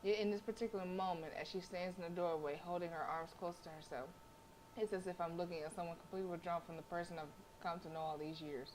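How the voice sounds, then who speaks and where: A woman's voice: fairly low pitch, fairly even intonation, quick pace, darkish tone, a little hiss or hum, poor audio. A woman livestreamer reading a visual novel aloud dramatically, with casual asides and stumbles she corrects as she goes.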